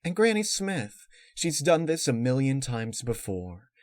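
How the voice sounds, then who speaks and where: A man's voice: mid-range voice, sing-song, unhurried, dry recording, pitched above the performer's own voice. Guy doing a bookish girl pony, earnest and reassuring, story reading, really dry close sound.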